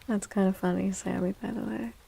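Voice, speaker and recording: Woman, ASMR livestream, mellow low-brightness tone, gentle and hushed, even pitch, frequent whispering, close-miked. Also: a little fast, creaky, slight background noise. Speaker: livestreamer hosting an ASMR stream. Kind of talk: soft-spoken ASMR, chatting with viewers.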